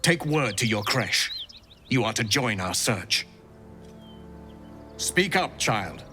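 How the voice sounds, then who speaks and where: A man playing a haughty, menacing alien knight commander in a video game, speaking imperiously and deliberately. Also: mid-range voice, very animated, pushed and bright, faint music behind, heavily acted. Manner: imperious, slow, deliberate, threatening. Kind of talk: dramatic video game acting.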